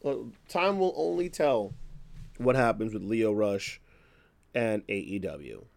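A man with a slightly hoarse voice giving casual, unscripted commentary as a host, opinionated and thinking aloud as he talks. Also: fairly low pitch, lively intonation, takes it slowly, a little mellow. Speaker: wrestling news podcast host.

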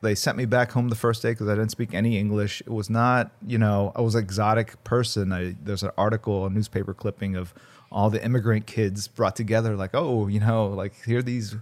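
A man's voice: on the low side, little pitch movement, brisk, darkish tone. A man in his fifties, born in South Korea, talking reflectively as an interview guest, with many fillers and restarts. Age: 50s. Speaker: guest artist. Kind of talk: casual interview conversation.

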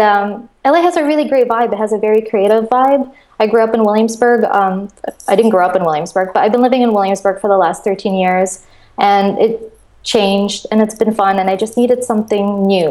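An enthusiastic woman from Russia chats easily as a guest, her voice at an ordinary middle pitch. She talks in a loose, excited flow over a video call. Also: fairly quick. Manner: enthusiastic, chatty, laughs often. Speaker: guest, crowdfunding platform co-founder. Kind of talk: casual interview chat.

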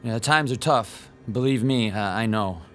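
A man's voice: fairly low pitch, a little slow, a bit bright, soft background music. A man playing a timid, awkward comic book shop owner in a radio drama, speaking in a hesitant, stammering way.

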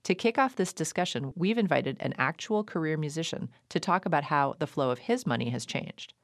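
A woman hosting a radio show about music, reading a scripted intro and then asking interview questions in a measured, conversational way. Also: low voice, lively intonation, dry recording, clean studio sound. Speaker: radio show host and record label owner.

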